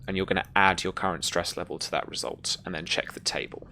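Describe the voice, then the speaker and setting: Low, gravelly, bright-voiced game master. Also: fairly even intonation, fast talker, a little hiss or hum. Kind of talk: running a tabletop roleplaying game, casual.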